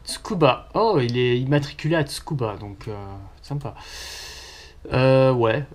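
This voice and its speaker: A man's voice: mid-range voice, a little slow, darkish tone. A man from southwestern France chatting casually to camera as a vlogger, informal and slangy with plenty of swearing. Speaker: car vlogger.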